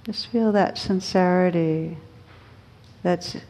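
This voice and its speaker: A woman teaching meditation, guiding listeners gently and unhurriedly, with pauses between short phrases. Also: lowish voice. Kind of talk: guided meditation.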